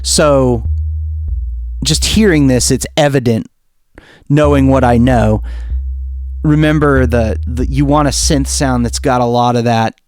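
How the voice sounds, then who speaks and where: A man with a mid-pitched voice walks through software in a casual tutorial style. He talks informally, thinking aloud, and the sound is close and dry. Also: fairly even intonation, a little slow, pauses often, dry recording, faint music behind. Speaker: music producer demonstrating software.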